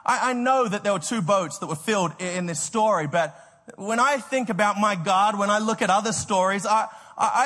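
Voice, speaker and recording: A man with an Australian accent preaching a sermon, energetic and storytelling, often repeating words as he restarts his sentences and laughing at himself. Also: very high voice, in a large hall. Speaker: pastor.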